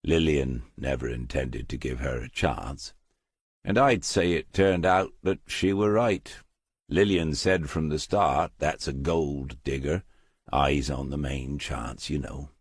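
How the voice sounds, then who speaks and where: A man voicing an elderly, plain-spoken, guarded man in an audiobook, halting and colloquial. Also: very deep voice, slightly rough, dry recording, clean studio sound, exaggerated voice. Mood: wistful, guarded.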